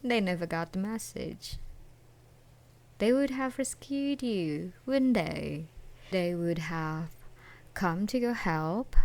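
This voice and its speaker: Woman acting a slow, creaky obsessive ex-girlfriend. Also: fairly low pitch. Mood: taunting, sinister. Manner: slow, menacing, drawn-out taunting with dark chuckles and whispers. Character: obsessive, menacing jilted ex-girlfriend hunting her beloved. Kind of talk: ASMR roleplay, intimate dramatic monologue.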